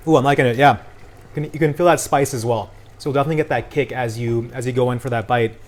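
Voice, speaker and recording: A man's voice: medium pitch, fairly even intonation, fast talker. An upbeat, chatty man explaining food casually as a guest cooking demonstrator. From Punjabi Indian family.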